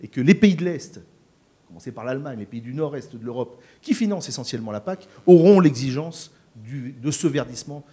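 Middle-aged French man, farmer on stage, halting and full of fillers; mellow, dark tone, average pitch. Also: lively intonation, breathy edge. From France. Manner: hesitant, many fillers and repeated words. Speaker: farmer and panel speaker. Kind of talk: conference panel talk. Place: conference stage before an audience. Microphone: handheld microphone.

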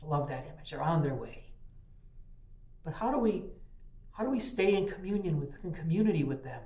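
Folksy older pastor preaching, high voice. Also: male voice, animated, fairly quick, darkish tone, roomy sound. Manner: folksy storytelling with repeated restarts. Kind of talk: sermon. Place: small church chapel, indoors.

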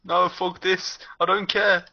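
A woman's voice: on the low side, level tone, a little slow, creaky, crowd noise. A woman giving casual, offhand, sweary improvised commentary as an amateur fandub performer. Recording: dull lo-fi sound under loud music.

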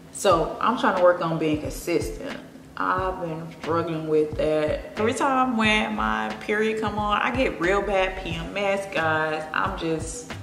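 A young woman with a Memphis accent chatting casually as she does her makeup at home, relaxed and confiding. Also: fairly low pitch, vocal fry. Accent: Memphis Southern. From Memphis, Tennessee. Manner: relaxed, confiding, drifting between makeup steps and personal chat. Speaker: beauty vlogger. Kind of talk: chatty get-ready-with-me makeup talk. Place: home room with plants. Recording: echoey room, music playing behind.

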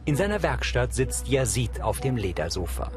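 A male narrator delivers audio description for a TV film. He is measured and matter-of-fact, at an average pitch. Music plays behind his scripted reading. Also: very animated.

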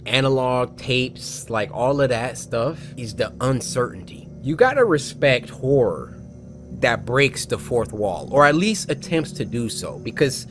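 A young American man giving casual, animated, slangy commentary as he reacts to horror videos. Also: medium pitch, slight background noise. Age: young adult. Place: small foam-padded room, indoors.

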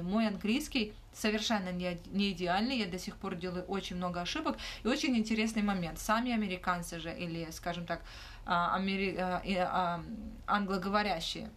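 Hesitant young woman. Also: on the low side. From Ukraine. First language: not Russian. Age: young adult. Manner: hesitant, frequent "uh" fillers and restarts. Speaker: livestream host answering questions. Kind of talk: casual livestream Q&A. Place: small indoor room. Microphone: phone held close, front camera.

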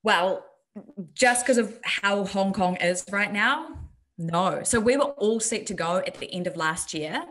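A woman's voice: medium pitch, measured pace, a bit bright. New Zealand woman on a video call. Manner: open, reflective, many ums. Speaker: guest, health and performance coach. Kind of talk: candid interview over video call. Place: bright room at home. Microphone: desk mic on video call.

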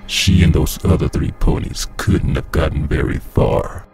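A man voicing a savage, evil, hungry monster in a dramatic audio story, with a menacing, drawn-out delivery. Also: a bass voice, level tone, darkish tone, faint music behind, voice pushed down. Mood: sinister, hungry.

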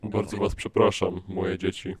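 A man playing a sorrowful, weary old skeleton creator in an animated fan dub, speaking solemnly and formally. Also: low voice, a little slow. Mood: sorrowful, defeated.

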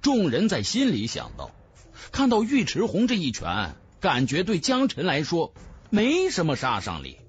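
A man's voice: on the high side, wildly swooping pitch, moderate pace, slightly rough. A man narrating a fantasy novel as a dramatic scripted audiobook. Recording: music bed under the voice.

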